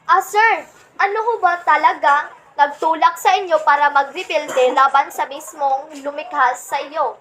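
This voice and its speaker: Young woman acting as a radio reporter live from hell, high voice, polite and measured, slight background noise. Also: fairly even intonation, a bit bright. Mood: polite, deferential. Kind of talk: scripted radio play reporting.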